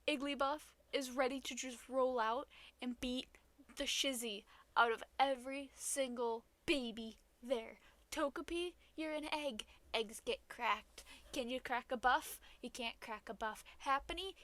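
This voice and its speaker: A woman's voice: sits high, unhurried, a bit bright. A woman co-hosting a podcast in casual banter, with a playful, mock-dramatic way of talking. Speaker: podcast co-host.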